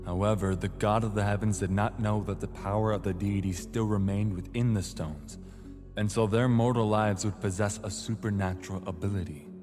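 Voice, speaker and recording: A grave storyteller, played by a man, recounts an ancient legend in a fairly low voice. His narration is solemn and measured, with music clearly underneath, in the manner of a fantasy audio drama. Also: fairly even intonation, close to an everyday voice. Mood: solemn storytelling.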